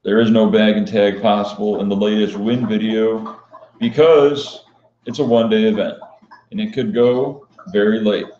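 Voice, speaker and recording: Casting: male poker vlogger, mellow monotone, unhyped casual Q&A delivery, dull lo-fi roomy recording. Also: lowish voice, moderate pace, slight background noise. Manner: flat, unhyped, matter-of-fact delivery. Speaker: professional poker player and vlogger hosting a live stream. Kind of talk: casual live-stream Q&A chat. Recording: dull, lo-fi sound in echoey room.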